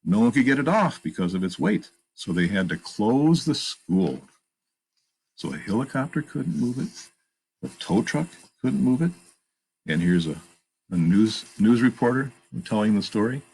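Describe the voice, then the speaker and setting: A man's voice: on the low side, sing-song, moderate pace, creaky, a little mellow, slightly rough, poor audio. A man reading a children's picture book aloud, expressively, with plain asides about the pictures. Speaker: storytime reader and narrator.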